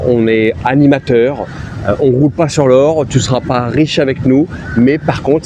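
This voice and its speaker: A man hosting a casual vlog interview, upbeat and chatty, talking straight to his viewers. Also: mid-range voice. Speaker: YouTube host and interviewer. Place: outdoors at a Bangkok night market. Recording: loud street and traffic noise.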